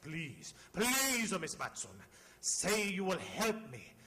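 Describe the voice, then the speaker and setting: Excitable, high-pitched restaurateur. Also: male voice, slow pace, exaggerated voice. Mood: anxious, pleading. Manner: formal, pleading, excitable. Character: anxious, courteous Italian male restaurant owner. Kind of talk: 1940s radio drama character acting.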